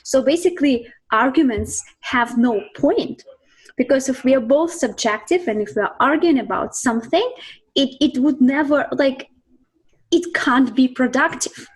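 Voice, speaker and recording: A woman from Siberia, a guest talking reflectively about her life and work, often pausing with ums and restarts. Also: sits high, husky, poor audio. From Russia (Siberia). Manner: reflective, thinks aloud with many ums and restarts. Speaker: guest, mindset coach. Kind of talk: casual interview talk.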